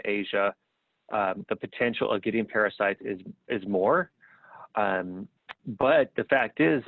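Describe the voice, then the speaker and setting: A middle-aged American man, a guest on a podcast interview, talking in a relaxed way and often repeating words while he thinks. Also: fairly low pitch, no echo. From United States. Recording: tinny phone-like line.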